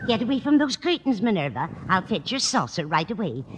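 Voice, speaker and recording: A woman's voice: mid-range voice, sing-song, a little fast, close to an everyday voice. An actress playing a kindly, absent-minded older landlady, fussing and muddled, in 1940s radio comedy style. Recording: noisy vintage radio broadcast recording.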